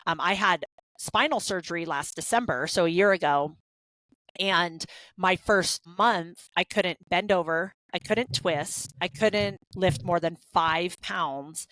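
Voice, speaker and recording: Woman, 30s, podcast co-host: low-ish, chatty, candid, dry studio sound. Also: slow, a bit bright, dry recording, rough recording. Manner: chatty, candid, many fillers and restarts. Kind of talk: casual podcast conversation.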